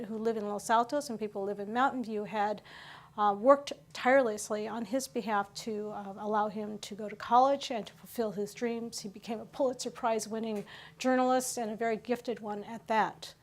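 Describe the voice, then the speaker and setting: A woman hosting a local news program, talking through the stories conversationally with frequent pauses and fillers. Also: a little high, steady tone.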